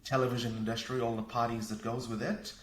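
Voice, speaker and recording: A man from Fiji, a parenting coach and podcast guest, talking in an energetic, upbeat way. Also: medium pitch, fast. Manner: energetic, upbeat, laughs easily. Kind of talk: casual conversation. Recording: echoey room.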